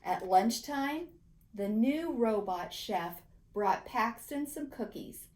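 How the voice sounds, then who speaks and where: A woman reading a children's picture book aloud with a theatrical, deliberate delivery. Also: on the high side, expressive, darkish tone. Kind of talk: expressive children's story narration.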